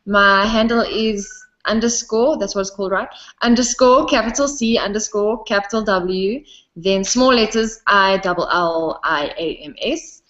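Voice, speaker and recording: A young woman with a South African accent chats easily in an interview, breaking into small laughs as she talks. Her voice sits at a middle pitch, and the recording sounds a little dull and lo-fi. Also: unhurried. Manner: giggly, relaxed, often laughs between sentences. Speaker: interviewee, singer. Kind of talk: casual interview chat.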